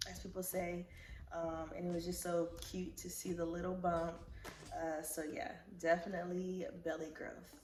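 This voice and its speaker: A woman's voice: lowish voice, darkish tone. A young woman from Liberia, congested, chatting casually and rambling with many fillers in a vlog. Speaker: vlogger. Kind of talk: casual pregnancy recap vlog. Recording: roomy echo, faint music.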